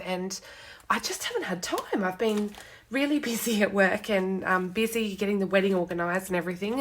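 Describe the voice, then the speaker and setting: A young woman with a cold and a hoarse, snuffly voice chats casually through a live card-making demo at her home desk, greeting viewers with lots of ums. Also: mid-range voice, fairly bright tone.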